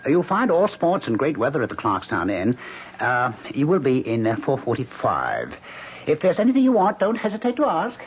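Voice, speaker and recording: A dull old radio recording features a small-town inn clerk. He is chirpy, courteous and eager to oblige, with very animated intonation. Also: mid-range voice, normal speed, a little hiss or hum. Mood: obliging. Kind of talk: radio drama acting. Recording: muffled old radio broadcast.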